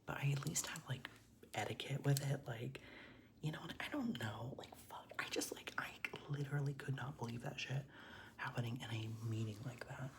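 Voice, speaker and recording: A man's voice: medium pitch, normal speed, gravelly, noisy background. A young transgender man whispering a casual, rambling storytime while doing makeup, with lots of "like"s and casual swearing. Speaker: vlogger.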